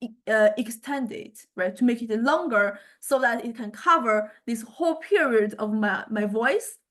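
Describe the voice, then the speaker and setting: A woman with a somewhat high-pitched voice gives an informal, hands-on lecture. Her delivery is halting and hesitant, with words often repeated or restarted as she works through each step of the explanation. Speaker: music technology lecturer. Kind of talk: informal software demonstration lecture.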